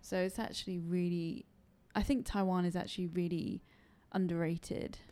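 Mellow-voiced British woman chatting. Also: on the low side, normal speed. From United Kingdom. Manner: relaxed, agreeable, lots of short replies. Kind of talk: casual chat.

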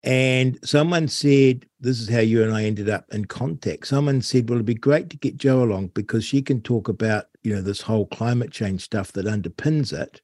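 A man's voice: medium pitch, normal speed, few pauses, a little mellow, no echo, studio quality. A New man hosting an interview, talking casually and wryly, stretching words for emphasis. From New Zealand. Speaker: host.

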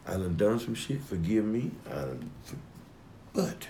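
A man with a deep voice talking emphatically in a heated personal conversation. He swears freely, rambles, and slips into a preaching tone. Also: very animated, unhurried, gravelly, mellow, a little hiss or hum.

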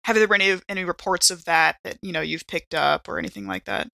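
A woman's voice: lowish voice, fast talker, creaky, pushed and bright, dry recording. A woman chatting casually as a player in a tabletop role-playing game. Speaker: player on a game show podcast.

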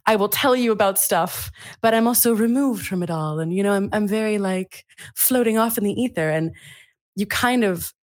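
A woman voice actor and singer, chatting as a podcast guest in an animated way that is quick to laugh. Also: mid-range voice, no echo, clean studio sound. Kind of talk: casual conversation.

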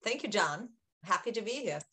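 A woman's voice: mid-range voice, animated, normal speed, sharp tone. A middle-aged woman from Austria with an Austrian German accent, a guest on a podcast interview who explains things in a friendly way. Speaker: research executive guest.

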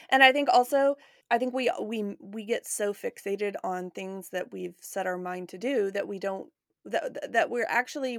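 Woman late 40s, podcast host, casual chatty talk, bit of vocal fry, close dry recording. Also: mid-range voice, dry recording. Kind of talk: casual conversational interview.

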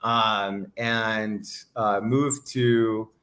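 A man's voice: lowish voice, steady tone, drawn-out words, fairly bright tone. A middle-aged man from Hawaii, a guest in an interview, talking casually and rambling, with many fillers and restarts.

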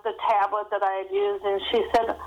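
A woman's voice: mid-range voice, level tone, a little fast, dull audio. A middle-aged or older woman, an author guest telling stories casually in an interview.

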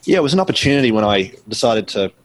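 A middle-aged man with a New Zealand accent, answering interview questions in a measured, explanatory way with frequent ums and restarts. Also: fairly low pitch, very animated, no room sound. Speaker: guest author.

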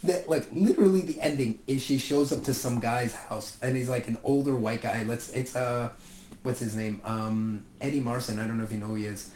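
A young man chatting casually about movies, swearing often and ranting with animated energy. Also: mid-range voice, a little fast. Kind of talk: casual chat. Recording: echoey room with faint ambient noise.

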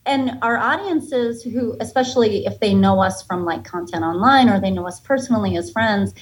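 A woman's voice: mid-range voice, normal speed, low-quality recording. An American woman in her thirties, a comedian being interviewed, talking casually and candidly in a chatty, playfully joking way. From United States, Massachusetts and Florida. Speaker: podcast guest, stand-up comedian.

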